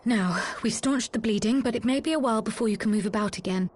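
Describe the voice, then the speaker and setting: An actress playing a gentle, composed woman healer in a video game, soft and reassuring. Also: fairly high pitch, fast, a little hiss or hum, lightly acted. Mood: soothing, concerned.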